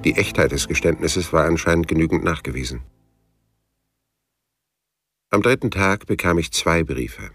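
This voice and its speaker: An actor playing a laconic, world-weary private detective, narrating his case in a deadpan, sober way in a noir radio play. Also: extremely low pitch, a little fast, slightly rough, dry recording, heavily acted. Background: guitar music.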